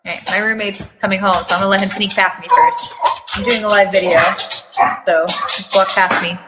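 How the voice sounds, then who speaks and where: A woman's voice: mid-range voice, fairly even intonation, a little fast, long reverb tail, very noisy. A woman giving a theatrical, mocking dramatic reading of a story, breaking off for sarcastic asides. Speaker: fan fiction reader on a live video.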